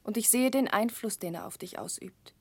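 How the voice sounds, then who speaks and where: An actress playing a gentle, earnest, caring young woman with a soft voice in a 1960s radio drama. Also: medium pitch, normal speed. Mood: gentle, concerned. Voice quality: soft, breathy.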